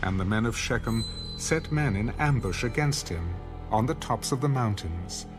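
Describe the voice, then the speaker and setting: A man narrating an audio Bible in a measured, formal scripted style. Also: on the low side, expressive, music in the background, close to an everyday voice.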